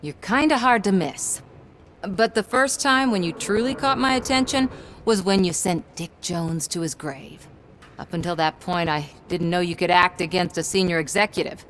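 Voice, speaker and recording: A woman's voice: fairly low pitch, normal speed, fairly bright tone, slight background noise, natural voice. A woman playing a persistent, self-assured television reporter in a video game, speaking in a probing and persuasive way. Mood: frank, poised. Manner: smooth-talking, probing, persuasive. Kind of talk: video game cutscene acting.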